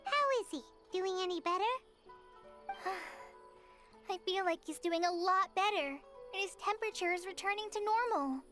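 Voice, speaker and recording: A woman's voice: extremely high pitch, lively intonation, moderate pace, bright, forceful tone, soft background music, heavily acted. A woman voicing an eager, earnest young girl in a video game, a little hesitant and unsure of herself.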